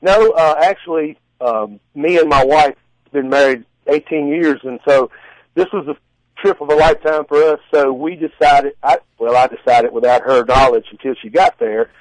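Higher-voiced middle-aged man, folksy and halting. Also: dry recording, low-quality recording. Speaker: guest, strongman competitor and contest judge. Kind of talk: casual interview answers and storytelling.

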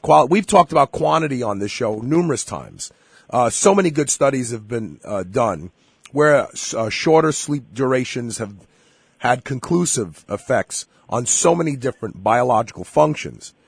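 A sick-sounding man hosts a radio health show, hoarse and husky. He talks in a chatty, emphatic way, full of fillers, and the sound is close and dry. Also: mid-range voice, no room sound. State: hoarse from illness. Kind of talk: casual radio talk.

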